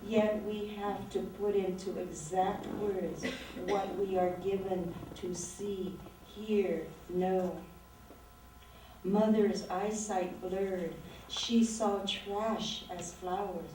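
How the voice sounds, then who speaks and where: A woman in her sixties, an author reading her poetry aloud and talking about it in a slow, measured, reflective way. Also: mid-range voice, steady tone, warm tone, a little hiss or hum. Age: 60s. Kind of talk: poetry reading with talk between poems. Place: lecture room at a literary event. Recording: very echoey room, voice distant from mic.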